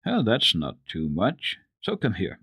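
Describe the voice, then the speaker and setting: A man voicing a kindly, indulgent old grandmother in a storybook, explaining things gently and patiently. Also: on the low side, wildly swooping pitch, measured pace, husky, no room sound, studio quality, heavily acted.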